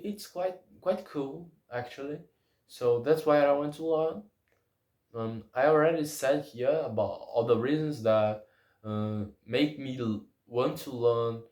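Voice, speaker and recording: Young guy, portuguese is his first language, talking english slow and halting, echoey room. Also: medium pitch, darkish tone. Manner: halting, searches for words in a second language. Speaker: language-learning vlogger. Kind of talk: unscripted personal monologue.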